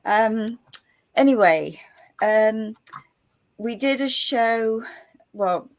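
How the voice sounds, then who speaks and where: A woman's voice: a little high, lively intonation, drawn-out words. A middle-aged woman hosting an interview show, bubbly and chatty, often laughing. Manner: bubbly, laughing, warm greetings. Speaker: host. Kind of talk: casual interview talk.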